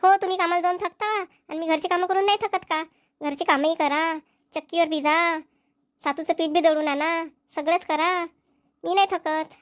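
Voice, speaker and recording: A woman's voice: very high-pitched, steady tone, moderate pace, dry recording, studio quality. A woman voicing village women in a cartoon comedy, speaking colloquial rural Vidarbha Marathi. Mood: nagging. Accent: Maharashtrian, rural Vidarbha Marathi. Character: young village women, mothers and nagging wives in a comedy.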